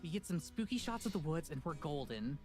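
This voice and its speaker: A woman's voice: low voice, big pitch swings, fairly quick, darkish tone, soft background music. A woman voicing an easygoing, upbeat young traveler in a visual novel, casual and placating.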